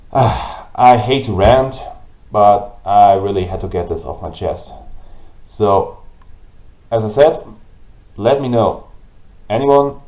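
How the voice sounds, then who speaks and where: A man with a German accent, whose first language is German, hosting a gaming channel and ranting slowly and haltingly about it to camera. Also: fairly low pitch, mellow. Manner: halting, with restarts and pauses. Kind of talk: frustrated rant to camera. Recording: roomy, dull lo-fi audio.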